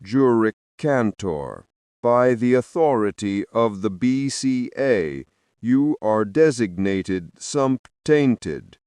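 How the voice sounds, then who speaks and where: A man voicing a cold, inhuman male official who pronounces a sentence in a flat, merciless manner. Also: mid-range voice, takes it slowly, clear, resonant tone, no echo. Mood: cold command.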